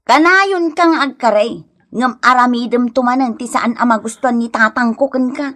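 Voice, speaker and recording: An actress playing a nagging, scolding young village wife in a radio comedy drama, exclaiming dramatically with an Ilocano accent. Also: female voice, fairly high pitch, moderate pace, fairly bright tone, lightly acted. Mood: scolding, exasperated.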